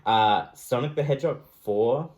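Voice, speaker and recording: A man's voice: medium pitch, moderate pace, darkish tone. A man talking casually as a co-host on a podcast.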